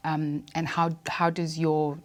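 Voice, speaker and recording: A woman's voice: low-pitched, flat delivery, takes it slowly. A woman in her thirties with a South African accent, answering conversationally as an interview guest. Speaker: interview guest, air traffic controller.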